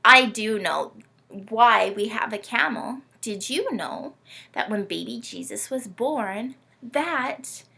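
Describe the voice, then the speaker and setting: Woman, children's read-aloud host. Very lively pitch, heavy creak, teacherly and question-led. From Canada. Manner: animated, teacherly, asks listeners questions. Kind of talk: children's storytime presenting.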